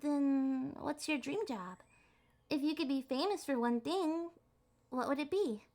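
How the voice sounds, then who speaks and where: A woman plays an adorkable young fox girl in an ASMR roleplay. Her voice is high, and she talks in prim, wordy phrases with flustered hesitations, trying hard to sound mature. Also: expressive, measured pace, darkish tone. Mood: curious, encouraging. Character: adorkable young adult female kitsune fox, flustered, primly formal. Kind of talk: ASMR roleplay, acted one-sided date conversation.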